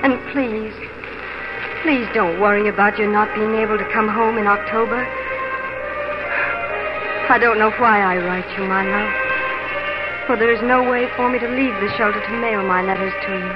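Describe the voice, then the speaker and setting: Young prairie wife played by a woman, medium-pitched, earnest and emotionally exposed, for vintage radio melodrama with heavy music and dulled sound. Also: steady tone, moderate pace, long pauses, natural voice. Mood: tender, wistful. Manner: earnest, theatrical letter narration. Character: frightened, devoted young pioneer wife and mother. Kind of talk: 1940s radio drama acting. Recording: muffled 1940s radio broadcast with music.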